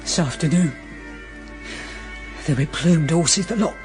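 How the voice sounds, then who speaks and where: A woman's voice: sits low, measured pace, a little mellow, faint music behind. A woman acting a proud, hard, grieving Cockney mother from a criminal family in a radio drama, blunt and defiant. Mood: proud, vengeful.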